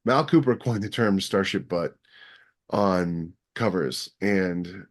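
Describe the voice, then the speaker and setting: A man's voice: sits low, takes it slowly. A man co-hosting a podcast, explaining his points in an emphatic, assertive way. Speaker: podcast co-host. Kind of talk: casual podcast chat.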